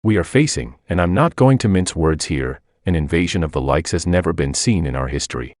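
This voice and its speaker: Man playing a deep, animated TV news anchor. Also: a little fast, no room sound, studio quality. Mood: alarmist, scornful. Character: alarmist male TV news anchor, bombastic and slick. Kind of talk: television news presenting.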